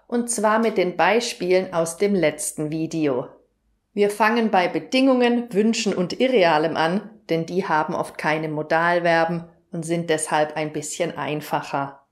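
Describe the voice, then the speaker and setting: A German woman teaching grammar in a lesson video, explaining clearly and asking the viewer questions. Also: fairly low pitch.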